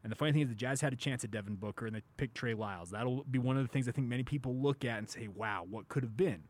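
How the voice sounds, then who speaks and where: A man with a mid-pitched voice hosts a casual sports talk show. He speaks in an emphatic, conversational way, slowing down to lean on the phrases that matter.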